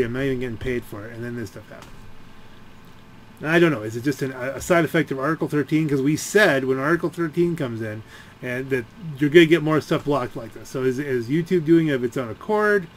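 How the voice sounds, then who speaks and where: A man with a slightly higher-pitched voice talks casually as he plays a video game. He rambles and halts, working out his thoughts aloud. Some noise sits behind his voice. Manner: halting, rambling, thinking aloud. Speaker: gaming video creator. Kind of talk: rambling casual gameplay commentary.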